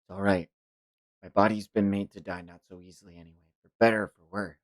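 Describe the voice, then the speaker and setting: A man voicing a weary, stubborn and stoic wounded ninja with a curt, low-key delivery in a manga read-along. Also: steady tone, quick pace, no room sound, studio quality, lightly acted. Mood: curt, resigned.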